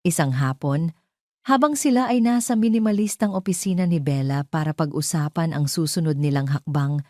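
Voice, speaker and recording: A woman's voice: deep voice, normal speed, darkish tone, no room sound, clean studio sound. A woman narrating a scripted dramatic story as an audio drama narrator.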